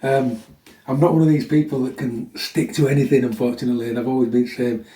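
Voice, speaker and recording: Mellow, slightly chesty man, echoey room. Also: mid-range voice, steady tone, quick pace. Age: middle-aged. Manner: chatty, blunt, frequent "yeah?" tags. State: getting over a chest infection. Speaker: fitness vlogger. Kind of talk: casual chat to viewers introducing a workout. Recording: echoey room, mic at a distance.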